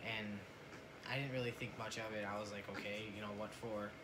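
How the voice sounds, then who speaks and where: A man's voice: lowish voice, fairly even intonation, brisk. A young man casually telling a personal story to camera in a conversational way, often repeating his words as he goes. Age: young adult. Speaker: family vlogger. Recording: noisy home recording.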